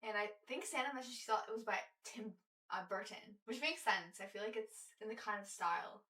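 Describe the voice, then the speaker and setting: A woman's voice: medium pitch, fairly quick, pushed and bright. A young woman co-hosting a podcast, chatting casually with many fillers and restarts. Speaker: podcast co-host. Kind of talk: casual chat. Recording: roomy echo, distant mic.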